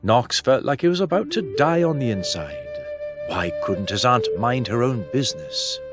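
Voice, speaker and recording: A man narrates a fantasy novel in a medium-pitched voice. His intonation moves expressively through the scripted prose. Soft music sits underneath. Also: lively intonation, normal speed. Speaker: audiobook narrator.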